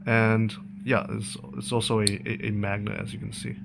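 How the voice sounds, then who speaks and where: A man giving an informal, unscripted technical explanation as a tutorial presenter, halting and thinking aloud as he goes. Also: on the low side, little pitch movement, gravelly, noisy background.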